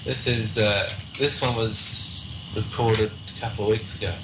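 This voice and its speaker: A man making quick casual remarks in an archive recording. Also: fairly low pitch, fairly even intonation. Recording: dull, very noisy archive recording.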